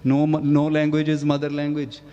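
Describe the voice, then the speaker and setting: A man from Punjab, a journalist speaking earnestly on a festival panel, pausing with fillers. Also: fairly high pitch, monotone, dark tone, smooth, dull audio. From Punjab, India. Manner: earnest, emphatic, hesitant with fillers. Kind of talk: panel discussion. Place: festival stage.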